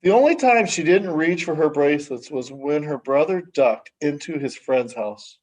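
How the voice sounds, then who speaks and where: A man reading a children's story aloud expressively, with measured, dramatic phrasing and hushed sentence endings. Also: fairly high pitch, lively intonation, rough recording. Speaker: story reader. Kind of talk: expressive children's picture book read-aloud.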